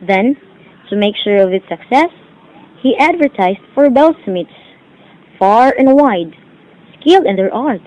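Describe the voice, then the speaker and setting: Woman acting an earnest young daughter, high voice, muffled. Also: animated, a little slow, pauses often, a little mellow. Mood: earnest, explaining. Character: dutiful, worried young daughter of a mandarin. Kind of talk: declaimed amateur stage-play acting. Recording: dull lo-fi amateur recording.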